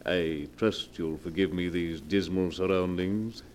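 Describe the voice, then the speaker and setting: A deep-voiced, suave middle-aged blackmailer. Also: male voice, a little mellow. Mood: suavely polite. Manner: oily mock politeness, formal, unhurried. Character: large, coarse, bearded blackmailer of fifty, suavely menacing. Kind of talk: 1940s radio acting. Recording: noisy vintage 1940s radio recording.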